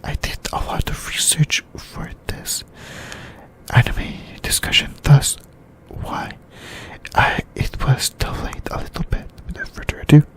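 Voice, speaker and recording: A YouTuber whispering an ASMR anime discussion in a halting way, in short broken phrases. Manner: whispering throughout.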